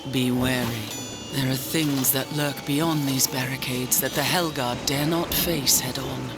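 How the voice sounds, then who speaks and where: A woman's voice: rumbling low, fairly even intonation, measured pace, over loud music. A woman playing a battle-weary, proud angel commander in a video game, speaking with solemn, formal resolve. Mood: grave, weary. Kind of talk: video game cinematic acting.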